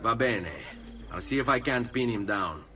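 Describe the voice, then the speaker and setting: An actor with an Italian accent playing a composed, determined assassin in a video game, solemn, terse and self-assured. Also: male voice, medium pitch, expressive, moderate pace, pushed and bright. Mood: resolute. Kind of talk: dramatic video game acting.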